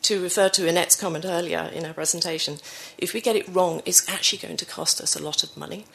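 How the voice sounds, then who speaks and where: A woman presents to a conference audience, her voice low and gravelly and roughened by a cold. She talks through slides with frequent ums and restarts, correcting herself as she goes. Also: fairly even intonation, fast, fairly bright tone, rough recording. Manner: frequent fillers and self-corrections. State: croaky from a cold. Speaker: conference speaker.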